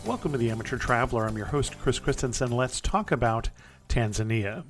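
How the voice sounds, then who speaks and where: A man's voice: medium pitch, lively intonation, a little fast, over loud music. A man hosting a travel podcast, reading sponsor spots and interviewing a guest in an upbeat way. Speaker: podcast host.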